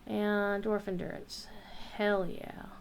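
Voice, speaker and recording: A woman's voice: mid-range voice, little pitch movement, takes it slowly, creaky, background noise. A woman game streamer chatting casually, talking to herself and her viewers as she plays. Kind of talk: casual live gameplay chat.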